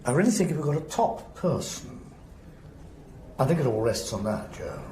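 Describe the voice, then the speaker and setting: An actor playing a determined appeal lawyer with an English accent, articulate but often correcting himself, in a radio drama. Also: male voice, medium pitch, very animated, normal speed, mellow, close to an everyday voice. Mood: considered, earnest. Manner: articulate, hesitant, self-correcting. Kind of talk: naturalistic radio drama acting. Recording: roomy echo, faint background noise.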